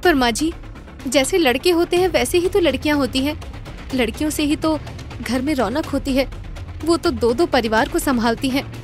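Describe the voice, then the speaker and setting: A woman voicing a gentle young daughter-in-law with an earnest, pleading manner, in a moral story. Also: high voice, moderate pace, soft background music, lightly acted. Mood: questioning.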